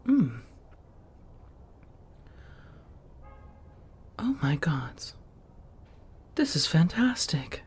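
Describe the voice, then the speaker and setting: Woman acting a low, breathy, genteel vampire woman. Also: heavily acted. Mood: teasing, delighted. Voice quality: soft, breathy, husky. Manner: genteel, old-fashioned, measured phrasing. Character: centuries-old female vampire housemate, genteel and affectionate. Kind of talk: soft-spoken ASMR roleplay.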